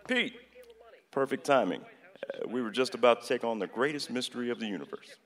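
An actor playing a wry, genial American president who argues persuasively and jokingly in a radio play. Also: male voice, medium pitch, lively intonation, brisk. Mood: jovial. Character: wry, genial male American president debating gun laws. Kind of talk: conversational stage-style radio drama acting. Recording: reverberant hall-like room.

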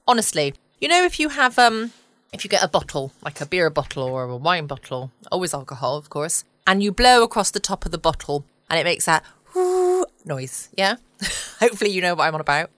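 English woman host, rather low voice, dry and close, soothing chatty delivery. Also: big pitch swings, brisk, a bit bright, no room sound. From England. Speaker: podcast host. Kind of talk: cozy late-night podcast chat. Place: home.

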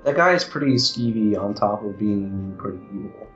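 A man's voice: fairly low pitch, moderate pace, fairly bright tone. A man playing a tabletop game, chatting casually with a wry, discursive manner. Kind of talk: casual group chat. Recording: roomy muffled audio, music bed. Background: music.

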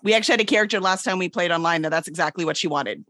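A woman's voice: lowish voice, racing, a bit bright, no echo, studio quality. A woman chatting casually as a guest on a podcast. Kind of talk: casual chat.

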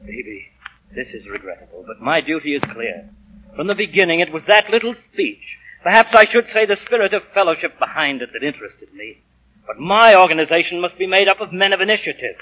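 A stern company boss from a 1940s radio play. His voice is husky and a little high, with pompous, formal phrasing that turns hesitant when he is cornered. The old broadcast sounds muffled. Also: very animated, a little fast. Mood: stern, solemn.

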